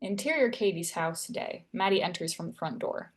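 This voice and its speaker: A woman's voice: fairly low pitch, lively intonation, normal speed. A woman narrator reading screenplay stage directions at a table read.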